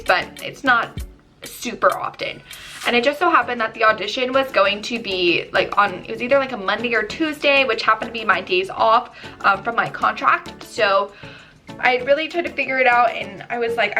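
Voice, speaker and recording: Chatty twenty-something woman, bit hoarse, crisp bright voice, telling her story on her channel. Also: fairly high pitch. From New Jersey, USA. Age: 20s. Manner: chatty, many ums and likes. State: slightly hoarse, losing her voice. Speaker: YouTuber. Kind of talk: casual vlog storytelling.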